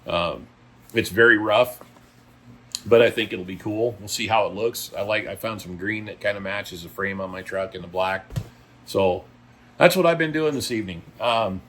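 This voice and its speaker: A man's voice: lowish voice, normal speed, fairly bright tone, a little hiss or hum. Blunt, folksy older male trucker. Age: middle-aged or older. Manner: blunt, folksy, opinionated, emphatic. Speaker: trucker and video blogger. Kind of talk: casual vlog monologue.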